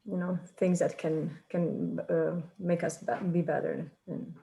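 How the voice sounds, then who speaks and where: A woman from Romania with a Romanian accent, introducing herself informally in a meeting and haltingly searching for words. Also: deep voice, steady tone, gravelly, mellow, a little hiss or hum. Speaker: high school ESL teacher and assistant chair.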